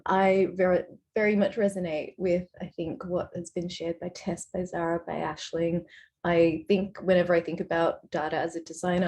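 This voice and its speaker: A woman's voice: on the low side, dark tone. A woman hosting a panel discussion, slightly hesitant and using fillers. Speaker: panel host.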